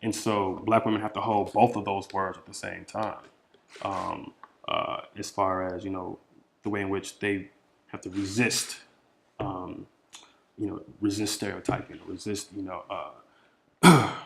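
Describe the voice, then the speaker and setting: A man speaking informally as a poet answering questions, thinking aloud haltingly and repeating phrases for emphasis. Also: low voice, little pitch movement, vocal fry, a little hiss or hum.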